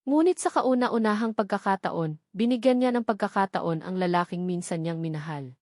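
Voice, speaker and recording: A woman's voice: mid-range voice, moderate pace, darkish tone, no room sound, clean studio sound. A woman with a Filipino accent reading a scripted romance story as its narrator.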